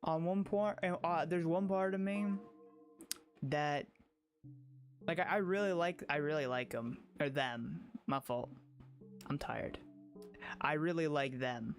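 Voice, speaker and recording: A man giving casual livestream game commentary, halting and rambling as he repeats his phrases. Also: fairly high pitch, faint music behind. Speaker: game streamer.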